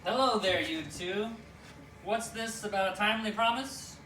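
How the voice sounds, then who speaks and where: Man voicing a high, bright talking Bible. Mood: friendly. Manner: didactic, measured explaining. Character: Mister Bible, kindly teacherly talking Bible puppet. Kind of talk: children's church puppet show. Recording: echoey room, distant mic, faint noise.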